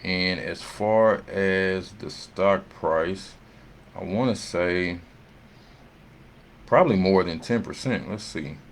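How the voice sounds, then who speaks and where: A man with a low-pitched voice presents financial results informally, as if talking through slides without a script. His delivery is conversational and halting, with frequent little tag questions. A faint noise sits behind his voice. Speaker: presenter of an investment earnings summary. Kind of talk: informal unscripted financial commentary over slides. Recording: noticeable background noise.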